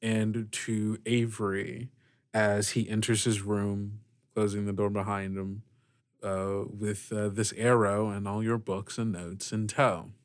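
A man narrating as game master of a tabletop role-playing game, measured and halting. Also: fairly low pitch, flat delivery, no room sound, clean studio sound.

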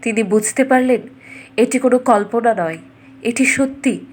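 Female fairy-tale narrator: slightly high register, expressive, dramatic scripted storytelling. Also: normal speed.

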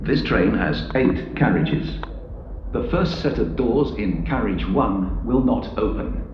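Guy doing train station announcements on the tannoy, very echoey, bit muffled, formal and measured. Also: lowish voice, expressive, noisy background. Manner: formal, measured, clearly enunciated. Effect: public address system. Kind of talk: pre-recorded platform announcement, pieced together.

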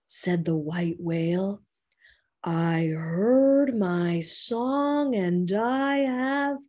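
Slow soft teacher lady reading a picture book to kids, kinda breathy, webcam in a small room. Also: a little high. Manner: slow, gentle storytelling with soft whispered passages. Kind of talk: children's picture book read-aloud. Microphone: webcam on a video call.

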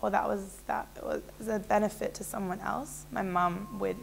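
A woman's voice: medium pitch, fairly even intonation, normal speed, vocal fry, a little hiss or hum. A young woman in a small indoor room, telling her personal story to a fixed camera in a casual, rambling way with many fillers. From Israel. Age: young adult. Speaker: interviewee.

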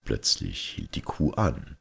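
A man reading a fairy tale as an expressive scripted narration, like an audiobook storyteller. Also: very deep voice, slow, vocal fry, husky.

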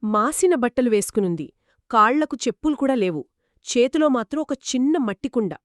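A woman's voice: fairly high pitch, expressive, moderate pace, no room sound, studio quality. A woman co-narrating a children's moral story in a conversational storytelling style. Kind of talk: conversational two-person storytelling.

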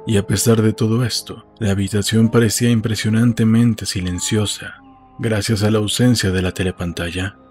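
A man's voice: fairly low pitch, darkish tone. A man reading a literary audiobook as a scripted narrator. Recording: music behind.

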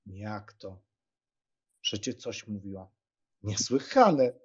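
An actor playing a cheerful, joking young country gentleman in an audiobook, with a jaunty, teasing manner. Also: on the low side, lively intonation, takes it slowly, slightly rough, heavily acted. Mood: curious. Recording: dull, lo-fi sound.

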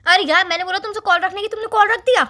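A woman's voice: extremely high pitch, steady tone, very fast, pushed and bright. A young woman chatting casually on a phone call as a girlfriend, insistent and nagging. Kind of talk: casual couple's phone chat.

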